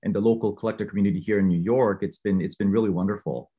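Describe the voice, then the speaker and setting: A man's voice: fairly low pitch, steady tone, fast, breathy edge, lo-fi sound. A man in his thirties, a watch collector guest, talking casually over a remote call with earbuds, full of fillers and restarts. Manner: rambling, many fillers and restarts. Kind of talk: casual conversational interview.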